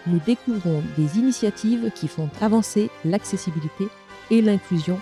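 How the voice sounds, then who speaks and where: A woman's voice: medium pitch, normal speed, dark tone, faint music behind. A woman hosting a podcast, presenting and interviewing in an articulate, careful way.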